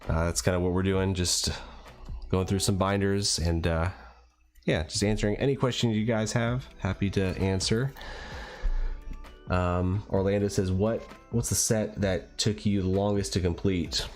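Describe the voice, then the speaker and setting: A man with a slightly raspy voice from a cold, chatting casually and a bit hesitantly as he hosts a livestream. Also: sits low, a little mellow. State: getting over a cold. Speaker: livestream host and card collector.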